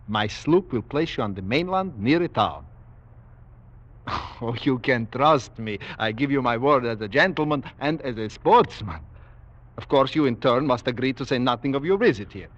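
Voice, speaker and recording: A man's voice: medium pitch, animated, normal speed, natural voice. Man acting a suave, sinister aristocratic general, old radio. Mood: relishing, courtly. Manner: courtly, menacing politeness with soft chuckles. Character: suave, sinister aristocratic general who hunts men for sport. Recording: dull vintage 1940s radio recording.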